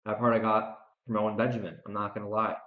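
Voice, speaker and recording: A man's voice: fairly low pitch, level tone, quick pace. A man hosting a solo podcast in a rambling, emphatic, preachy way, repeating phrases for stress. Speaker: podcast host and author. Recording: roomy echo, slightly dull lo-fi sound.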